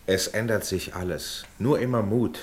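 Actor as very deep-voiced, earnest gentleman peacemaker. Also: natural voice. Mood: encouraging. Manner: measured, earnest, persuasive. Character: dignified, reassuring gentleman friend, conciliatory and earnest. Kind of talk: formal German radio play acting. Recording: radio drama with audible background noise.

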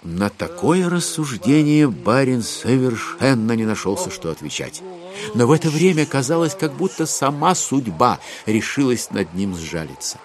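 A man's voice: medium pitch, sing-song, normal speed. A man narrating a classic literary tale expressively as the narrator of an audio drama.

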